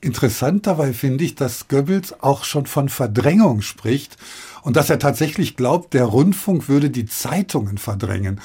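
A man's voice: medium pitch, animated, normal speed, dark tone, slightly rough. A man hosting a radio discussion, asking questions and introducing clips. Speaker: interview host.

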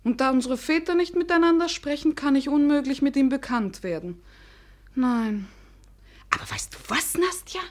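A woman's voice: high voice, animated, moderate pace. An actress playing a romantic, haughty young lady of the gentry in a German radio drama, imperious and eagerly curious. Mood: indignant, scheming. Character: impatient, romantic young noble lady, haughty but girlish.

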